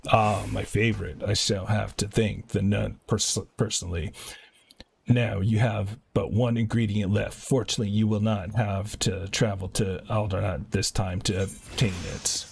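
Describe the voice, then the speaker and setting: A man with a fairly low voice and a noticeable creaky, gravelly edge streams a video game. He reads dialogue aloud and adds casual commentary. There is a faint noise on the recording. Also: little pitch movement, moderate pace. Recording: clearly noisy home stream audio.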